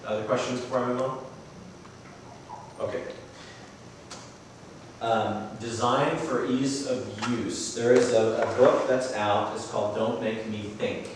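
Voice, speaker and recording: A man with a booming, loud voice gives a conference talk, relaxed and chatty, with plenty of asides. His voice is mid-pitched and heard from some way off. The room rings with heavy echo, and a faint hum sits underneath. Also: measured pace, long pauses. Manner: relaxed and conversational, with frequent asides. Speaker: conference speaker. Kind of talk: presenting a talk with audience questions. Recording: very echoey room, voice from far off.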